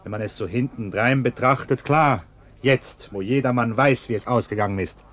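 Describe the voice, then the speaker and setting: An actor playing a defensive, sententious middle-aged bourgeois husband in a German radio play, blustering and indignant. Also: male voice, medium pitch, moderate pace, fairly bright tone, lightly acted.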